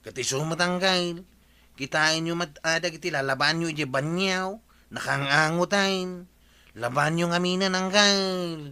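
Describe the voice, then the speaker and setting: A nagging younger Ilocano man in a radio comedy. His voice sits a bit high with a bright, sharp edge. He scolds and complains with whiny exasperation. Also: a little slow, clean tone, no echo. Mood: complaining. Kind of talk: comedy radio drama acting.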